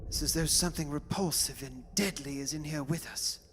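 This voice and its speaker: A man's voice: on the high side, fairly quick, a bit bright, a little hiss or hum, lightly acted. A man playing a stubborn, scoffing father in a melodramatic radio horror play, theatrical and blustering. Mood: uneasy. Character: stubborn, scoffing father who mocks an old legend. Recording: long hall reverb.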